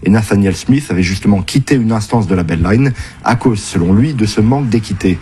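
A man narrating a scripted news report, with a husky voice. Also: on the low side, expressive, brisk, dark tone, soft background music.

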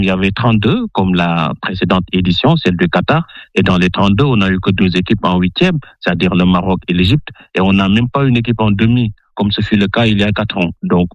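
A man's voice: on the low side, no echo. A man, a sports journalist, giving his views in a radio interview, hesitating often with fillers. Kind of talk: interview answer.

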